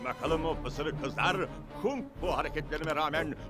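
A man's voice: a little high, moderate pace, lightly acted. A man voicing a boastful, hammy male villain in a dubbed cartoon, cackling and gloating. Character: boastful manly villain, silly and loud. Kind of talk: cartoon dub acting. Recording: cartoon soundtrack with loud music.

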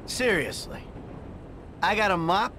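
A middle-aged American career criminal in a video game. He is jaded and blunt, with dry sarcasm. The voice sits a little high and swings freely in pitch, over slight noise. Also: animated, unhurried, bright, forceful tone, heavily acted. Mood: dry, sarcastic. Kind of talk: video game cutscene acting.

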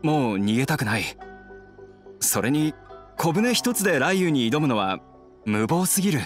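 A man playing a troubled, regretful, soft-spoken young fugitive in an anime game. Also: a little high, sing-song, moderate pace, faint music behind. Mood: earnest, apologetic.

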